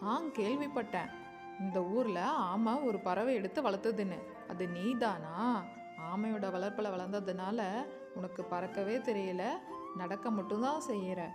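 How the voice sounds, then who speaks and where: A woman plays a storybook pigeon, friendly and sure of itself. The mid-pitched voice coaxes and instructs like a patient teacher. Music is clearly audible behind it. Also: measured pace, lightly acted. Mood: instructive. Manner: coaxing, instructive. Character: friendly, confident pigeon, coaxing young teacher. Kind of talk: children's bedtime story character.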